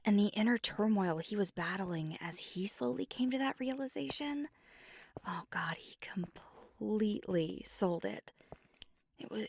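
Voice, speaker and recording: A woman's voice: mid-range voice, no room sound, full character voice. A woman playing a whispery bookish vampire. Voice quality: soft whispery, breathy. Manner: thoughtful, gently teasing. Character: flirty bookish female vampire on a date. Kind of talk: ASMR roleplay. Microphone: close mic. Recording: dry close-mic ASMR.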